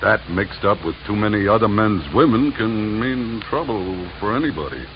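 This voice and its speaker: An actor playing a gruff, world-weary oil field operator in a 1950s radio drama, terse and halting. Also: male voice, on the low side, expressive, lightly acted. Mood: cynical, resentful. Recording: muffled vintage radio, noisy background.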